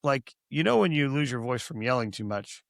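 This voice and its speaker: Hoarse male co-host, gravelly and rambling, easygoing podcast talk. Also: medium pitch, lively intonation, a little fast, a bit bright, no echo, clean studio sound. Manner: rambling, with many restarts. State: hoarse, recovering from lost voice. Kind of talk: casual podcast chat.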